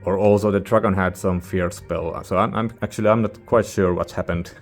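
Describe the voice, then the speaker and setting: A man's voice: sits low, fairly even intonation, a little fast, soft background music. A man who is a non-native English speaker gives a casual, rambling review as a host, informal and hesitant as he searches for words. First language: not English. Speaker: music reviewer, channel host.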